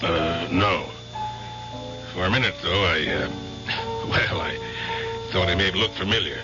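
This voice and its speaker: An actor playing an uneasy, evasive middle-aged bar patron in a radio drama, hesitant and stammering. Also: male voice, low voice, measured pace. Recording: muffled old radio recording with music.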